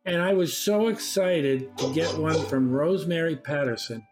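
High-voiced older man reminiscing. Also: moderate pace, a little mellow, rough recording. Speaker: discussion participant. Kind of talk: casual personal reminiscence. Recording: music behind the voice.

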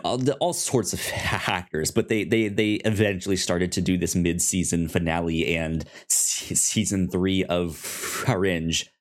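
Low-voiced man hosting a casual podcast. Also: steady tone. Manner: halting, stammering delivery with repeated words. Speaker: podcast host.

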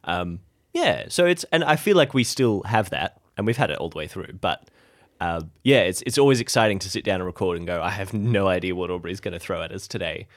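A man's voice: low voice, animated, fairly quick, no room sound, clean studio sound. A man co-hosting a show in casual, unscripted chat, with a rambling, jokey way of talking. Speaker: podcast co-host and improv voice actor.

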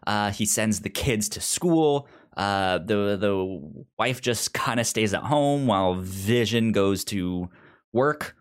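A man co-hosting a podcast in a casual discussion, halting and often stammering over the starts of words. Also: fairly low pitch, expressive, clean tone. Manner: halting, frequently stammers and repeats word starts. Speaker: podcast co-host. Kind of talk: casual comic book discussion.

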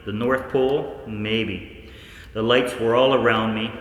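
A man acting a haunted scientist recounting cosmic horror, dramatically and deliberately, in a 1940s-style radio play. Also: mid-range voice, fairly even intonation, natural voice. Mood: anguished. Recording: echoey hall, long reverb, faint noise.

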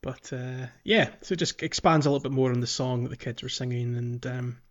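A man with a mid-pitched voice hosts a gaming podcast in a casual, conversational style. The recording is a little dull and lo-fi. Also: steady tone, brisk. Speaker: podcast host. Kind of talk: casual game walkthrough chat, some reading aloud.

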